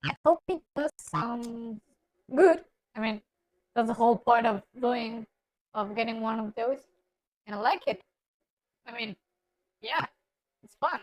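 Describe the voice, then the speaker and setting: A man using a voice changer's female setting, chatting offhandedly in halting phrases as he reviews it while gaming. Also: low-quality recording. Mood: casual. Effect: pitch-shifting voice changer, female setting. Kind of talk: casual gaming review chat.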